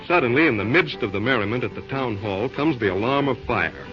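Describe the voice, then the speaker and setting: A man narrates a radio serial in a formal, measured announcer's way. His mid-pitched voice sits on a dull, narrow old recording with faint music. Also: expressive. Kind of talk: scripted narration.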